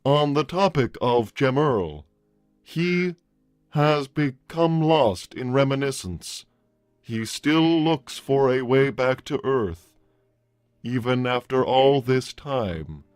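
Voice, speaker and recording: A man playing a formal robot peace consul with stilted, deliberate phrasing and a wounded, bitter temper, in a livestreamed roleplay. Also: mid-range voice, animated, smooth, no echo, studio quality. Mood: measured. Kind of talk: improvised character roleplay on a livestream.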